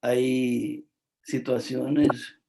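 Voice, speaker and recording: A man from El Salvador, preaching a devotional sermon in a slow, emphatic, repetitive way, with frequent filler words. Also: medium pitch, little pitch movement, vocal fry, noisy background.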